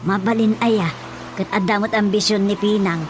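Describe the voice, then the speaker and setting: A woman's voice: mid-range voice, fairly even intonation, moderate pace, music in the background, natural voice. Gossipy Ilocano village woman played by an actress. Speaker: radio drama voice actor. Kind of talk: Ilocano radio drama comedy acting.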